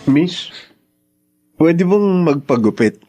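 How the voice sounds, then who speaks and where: A man's voice: a little high, sing-song, slow pace, dark tone, soft background music, full character voice. An actor in a Tagalog radio drama playing a friendly, generous longtime friend of a woman. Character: friendly, generous old male friend, back visiting.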